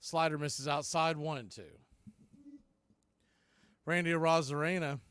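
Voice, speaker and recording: A man's voice: fairly high pitch, fairly even intonation, measured pace, a bit bright, clear, resonant tone, no echo. A man doing live baseball play-by-play commentary as the show's announcer, in terse, clipped calls.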